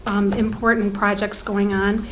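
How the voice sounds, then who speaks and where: A woman's voice: mid-range voice, little pitch movement, measured pace, slight background noise. A woman giving a report at a township board meeting, talking conversationally with many ums and restarts, her voice fading from allergies.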